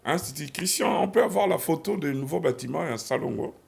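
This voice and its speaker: A man's voice: fairly high pitch, animated, fairly quick, vocal fry. A man hosting a TV talk show, chiming in often with short agreements and closing the segment. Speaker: TV host.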